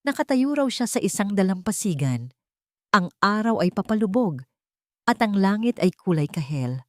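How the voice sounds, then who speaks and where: A woman narrating a scripted love story in a dramatic, measured storytelling manner. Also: medium pitch, sing-song, dry recording, studio quality.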